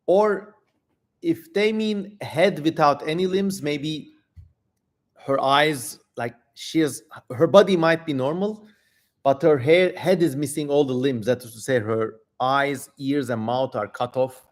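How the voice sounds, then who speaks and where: A man's voice: on the high side, unhurried. A man hosting a livestream, giving irreverent, slangy and theatrical commentary on a dramatic reading. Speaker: livestream host.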